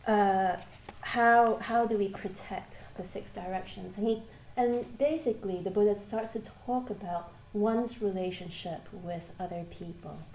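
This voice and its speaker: A woman from Malaysia, teaching a live Buddhist class and asking the group questions, pausing often with ums and restarts. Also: mid-range voice, steady tone, darkish tone, a little hiss or hum. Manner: hesitant, many ums and restarts. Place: shrine room with a live class. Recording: roomy hall ambience.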